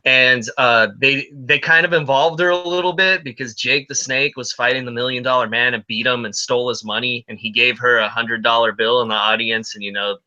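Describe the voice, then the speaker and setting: A man's voice: medium pitch, steady tone, brisk, hardly any pauses, sharp tone, muffled recording. A man co-hosting a podcast, chatting casually and telling anecdotes in a conversational way. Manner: conversational storyteller, quotes others. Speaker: podcast co-host.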